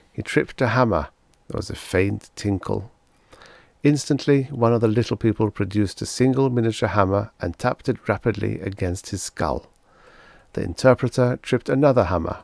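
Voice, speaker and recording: A man's voice: on the low side, vocal fry, darkish tone, dry recording. A man reading a scripted short story aloud as an audiobook narrator.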